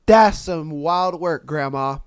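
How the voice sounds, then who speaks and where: A man hosts his podcast, his voice slightly nasal as he recovers from an illness. He sits on the high side and stays smooth and clear, recorded close and dry with no room sound. He reacts in a loose, slangy, often profane way. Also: slow, dry recording. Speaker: podcast host. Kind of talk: casual commentary on read-out posts.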